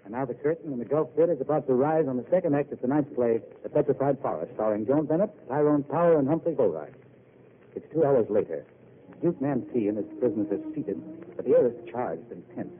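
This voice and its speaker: A man announcing and setting the scene for a 1940s radio play in a measured, formal way. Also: medium pitch, lively intonation, slight background noise. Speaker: program announcer. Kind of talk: scripted scene-setting narration. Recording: tinny old radio broadcast.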